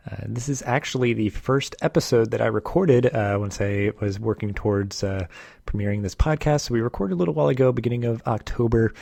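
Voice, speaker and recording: A man hosting a podcast, talking in a relaxed, casual way with lots of ums and uhs. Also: medium pitch, a little fast, gravelly, no room sound. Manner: relaxed, filler-heavy talk with many ums. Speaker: podcast host. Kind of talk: casual podcast intro and interview.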